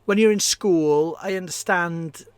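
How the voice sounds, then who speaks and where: A man's voice: sits high, a little slow, smooth, no echo. A Welsh man in his forties, a Welsh speaker and radio presenter, talking casually as a podcast guest with plenty of fillers and restarts. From Wales. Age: 40s. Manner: rambling, many fillers and restarts. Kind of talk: casual conversation.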